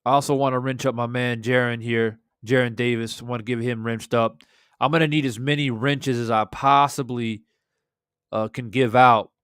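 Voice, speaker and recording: A hoarse man in his thirties talks into a desk mic in a small home room, laid-back and chatty as he greets his viewers. His voice stays smooth and resonant under the strain, with a dry, close sound and no room echo. He swears casually as he talks. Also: medium pitch, fairly even intonation, normal speed, fairly bright tone. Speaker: boxing livestream host. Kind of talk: casual livestream chat. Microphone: studio desk mic with headphones.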